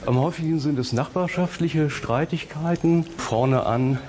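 A man's voice: on the high side, moderate pace, darkish tone, a little hiss or hum. A man in his late sixties answering in an interview as a volunteer arbitrator.

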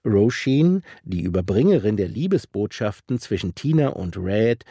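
Lively low-voiced man narrating a feature. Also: breathy edge, no echo, studio quality. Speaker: culture reporter.

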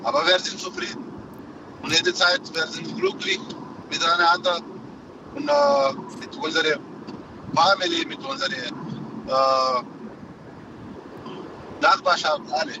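Afghan-accented male phone-in caller, halting and plain-spoken, far from the mic in a car with road noise. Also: a little high, big pitch swings. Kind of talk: halting, simple conversational speech. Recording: distant, muffled, noisy car phone. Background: vehicle noise.

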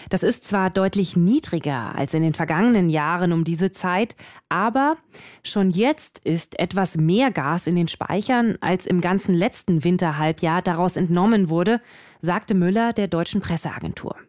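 A woman's voice: lowish voice, a little mellow, no echo, studio quality. A woman reading a scripted news report for radio.

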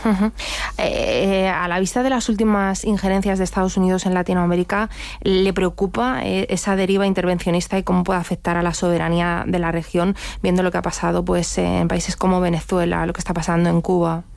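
A woman interviewer putting journalistic questions in a somewhat halting way. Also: lowish voice, flat delivery, creaky, a little mellow, dry recording.

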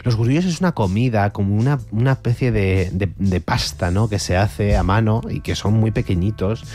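A man's voice: on the low side, normal speed, warm tone. A man from Almería, Spain, talking as an author guest in a radio interview, chatty and full of restarts. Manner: chatty, digressive, many restarts.

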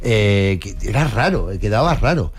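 A man's voice: lowish voice, sing-song, moderate pace, husky. A man with a Madrid accent, an actor reflecting in an interview, with many hesitations. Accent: Madrid Spanish. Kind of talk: interview answers.

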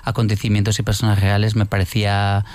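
Man, film director, fast and low-pitched, thoughtful interview talk. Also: monotone, a little mellow, dry recording. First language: not Catalan; works in another language. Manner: reflective, many restarts and tag questions. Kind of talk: conversational interview.

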